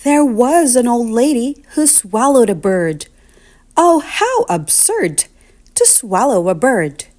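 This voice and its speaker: A woman reading a children's picture book aloud in an expressive, deliberate, sing-song storytelling manner. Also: fairly high pitch.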